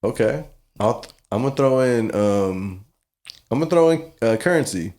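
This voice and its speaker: A man's voice: medium pitch, expressive, moderate pace, a little mellow. A man hosting a casual podcast, speaking effusively in slang and often repeating himself. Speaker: podcast host.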